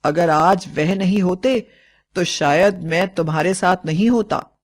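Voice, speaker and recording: Woman voicing an earnest, slow-spoken young husband. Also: fairly low pitch. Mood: grateful. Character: grateful, respectful young husband.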